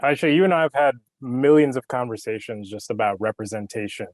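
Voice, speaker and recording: A man's voice: medium pitch, brisk, no echo. Dry-sounding man hosting an interview. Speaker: host, audiobook producer.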